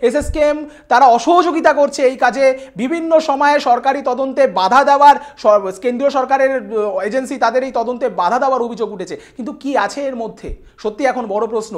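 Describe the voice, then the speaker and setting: A woman's voice: sits high, darkish tone. A female news presenter speaks. She delivers scripted commentary theatrically, leaning on rhetorical questions and sarcastic emphasis.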